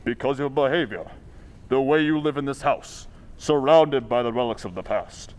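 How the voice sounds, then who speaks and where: An actor playing a stern, accusing invisible avenger with a commanding manner in an old-time radio drama. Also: male voice, medium pitch, darkish tone, natural voice. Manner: commanding, deliberate, ominous.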